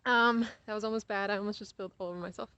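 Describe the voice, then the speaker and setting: Young American female vlogger, rambling and playful, medium pitch, walking outdoors with a slightly muffled handheld recording. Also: steady tone, racing, pushed and bright, clear, resonant tone, no echo. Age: young adult. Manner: giggly, easily sidetracked, playfully exaggerating. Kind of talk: casual rambling chat to camera while walking outdoors.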